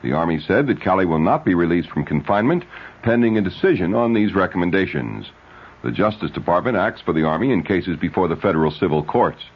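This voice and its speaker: A man's voice: low voice, lively intonation, quick pace, breathy edge. A man reading a scripted 1970s radio news bulletin as anchor. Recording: dull, lo-fi old radio recording.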